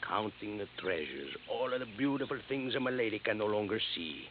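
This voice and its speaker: A man's voice: on the low side, expressive, a little fast, phone-quality sound, close to an everyday voice. An actor playing a simple, eager immigrant watchman with a foreign accent and broken English in an old radio drama. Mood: deferential. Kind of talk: 1940s-50s radio acting. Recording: noisy, hissy vintage radio recording.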